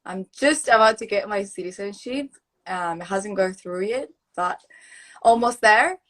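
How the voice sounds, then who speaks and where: A woman's voice: medium pitch, measured pace, fairly bright tone. A young woman from Argentina with a mixed Argentinian accent, a native Spanish speaker, chatting as a guest on a live video call and laughing often. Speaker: guest influencer.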